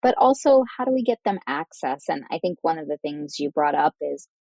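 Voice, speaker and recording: A woman's voice: low voice, lively intonation, fairly quick, vocal fry, darkish tone, dry recording. A woman hosting a medical podcast interview, articulate and formal as she sums up and puts questions. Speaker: host, physician interviewer.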